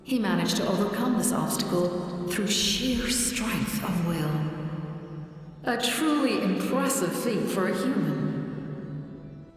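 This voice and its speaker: A woman voicing an ancient, solemn female angelic spirit in a video game, speaking slowly and formally. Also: mid-range voice, animated. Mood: solemn, calm. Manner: slow, solemn, formal, measured. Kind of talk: scripted video game voice acting. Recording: long echoing reverb, faint music behind.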